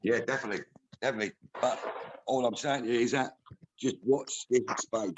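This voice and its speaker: A man's voice: medium pitch, a little fast, noisy background. A man speaking emphatically in a casual group video call.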